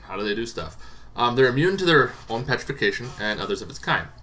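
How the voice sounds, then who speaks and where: A man's voice: medium pitch, lively intonation, quick pace, pushed and bright, a little hiss or hum, low-quality recording. A man giving an informal, conversational explainer on tabletop game monsters, wandering into asides as he goes. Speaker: tabletop game lore presenter.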